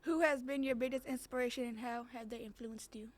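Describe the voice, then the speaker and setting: A woman's voice: on the high side, steady tone, a little fast. A young woman, a student host, asking brief, polite interview questions from away from the microphone. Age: teens.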